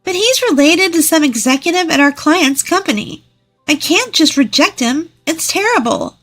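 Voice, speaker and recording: A woman's voice: sits high, animated, moderate pace, fairly bright tone, soft background music, voice pushed up. A woman voicing a bossy, snooty older sister in her late twenties, exaggerated and scornful. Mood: indignant.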